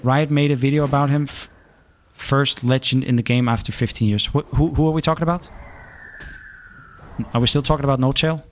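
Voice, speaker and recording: A man's voice: medium pitch, a little hiss or hum. A young man from Denmark with a Danish accent, chatting casually while streaming a game and answering viewer questions, often stopping to restart his sentences. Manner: halting, restarts often, relaxed. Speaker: gaming streamer.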